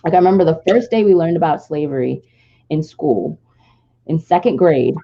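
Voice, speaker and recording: A woman's voice: deep voice, animated, normal speed, vocal fry, warm tone, muffled recording. A Nigerian-born woman with no trace of an accent, talking earnestly and reflectively in a long, flowing personal story on a podcast. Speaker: podcast co-host.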